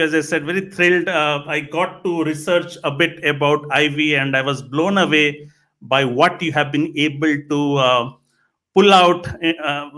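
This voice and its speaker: Higher-pitched man hosting a podcast. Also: sharp tone. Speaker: podcast host. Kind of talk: live interview.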